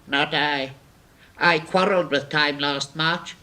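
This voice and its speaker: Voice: medium pitch, steady tone, pushed and bright. An actor playing a brusque, argumentative mad hatter with a pompous, theatrical manner in a 1950s storybook recording. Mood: boastful storytelling. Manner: quick to contradict, pompous, theatrical.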